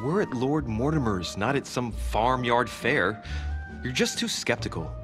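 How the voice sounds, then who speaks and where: A man acting an eager, inquisitive young gentleman investigator in a video game cutscene, with an earnest, teasing, expressive delivery. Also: medium pitch, unhurried, music in the background. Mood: eager, slightly piqued.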